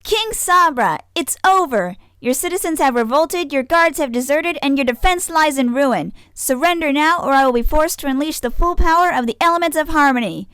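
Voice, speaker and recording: A woman's voice: high voice, expressive, normal speed, few pauses, a bit bright, no room sound. A woman playing an earnest, righteous young pony heroine in a comedic audio drama, formal and scolding. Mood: indignant. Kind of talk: comedic fan fiction audio drama acting.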